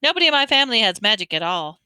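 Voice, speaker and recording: A woman's voice: on the high side, sing-song, pushed and bright, smooth, no echo, exaggerated voice. A woman doing a bossy schoolgirl, Hermione. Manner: brisk and bossy. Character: bossy know-it-all young schoolgirl.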